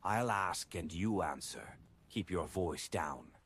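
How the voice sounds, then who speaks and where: A man voicing a stern, probing woman who questions an informant in a hushed, suspicious manner in an audiobook. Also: low voice, animated, slow pace, breathy edge, full character voice. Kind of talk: audiobook character dialogue.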